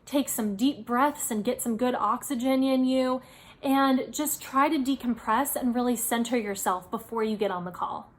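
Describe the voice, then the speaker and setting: High-voiced woman giving friendly advice. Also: moderate pace. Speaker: host giving career advice. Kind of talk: informal instructional video talk.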